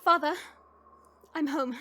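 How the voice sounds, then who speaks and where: A woman's voice: high-pitched, steady tone, takes it slowly, pushed and bright, a little hiss or hum, exaggerated voice. A woman voicing a small young dragon princess, prim, polite and easily embarrassed, in an audio drama. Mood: dutiful. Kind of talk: audio roleplay drama acting.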